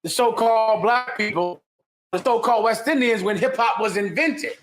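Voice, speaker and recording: A man's voice: high-pitched, moderate pace, a bit bright, faint music behind. A man co-hosting a casual commentary chat, speaking in a preachy, sermon-like way. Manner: sermon-like, religious talk.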